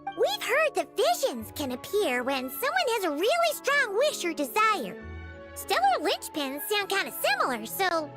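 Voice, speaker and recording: A woman's voice: very high voice, huge pitch swings, a little slow, heavily acted. A woman voicing a tiny childlike companion creature, inquisitive and earnest, in a video game cutscene. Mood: wondering. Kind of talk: scripted game cutscene acting. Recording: music clearly behind the voice. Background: game soundtrack music.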